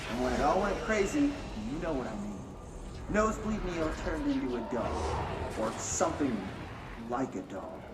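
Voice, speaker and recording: A man's voice: medium pitch, big pitch swings, normal speed, natural voice. An actor playing a hostile young male captive in a game, bitter, sardonic and foul-mouthed. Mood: taunting. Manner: sardonic, profane, erupting into shouts. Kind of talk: video game cutscene acting. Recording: roomy, distant, very noisy.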